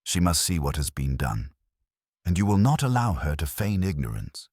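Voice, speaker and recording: Man voicing an imperious deep-voiced genius detective. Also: very animated, a little mellow, husky, no room sound, studio quality, heavily acted. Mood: stern, deliberate. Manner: slow, precise, formal. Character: imperious middle-aged male genius detective, stern and deliberate.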